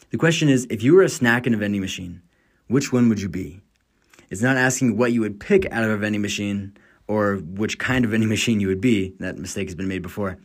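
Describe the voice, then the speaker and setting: A young man in his twenties hosts a podcast, reading a scripted monologue in an upbeat, enthusiastic way. His voice sits a little low for a man. He is from the United States. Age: 20s. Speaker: podcast host.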